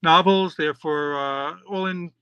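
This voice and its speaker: Middle-aged man, guest podcaster; bright, slightly high voice, chatty and rambling, dry close mic. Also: slow, clean tone, no echo. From New York. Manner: chatty, rambling, many fillers and restarts. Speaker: podcast host and children's author. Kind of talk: casual interview answers.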